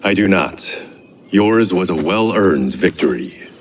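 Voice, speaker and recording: A man's voice: sits low, lively intonation, takes it slowly, a little hiss or hum. An actor playing a stern, sardonic, battle-hardened swordsman in a video game, dry and terse. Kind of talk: video game cutscene acting. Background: loud music.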